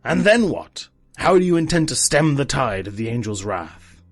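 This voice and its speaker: Fantasy audio drama: actor for weary, dutiful male church knight, measured, resigned reasoning, raspy voice, dry studio-like sound. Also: mid-range voice, very animated, dry recording, soft background music. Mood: defensive, stern.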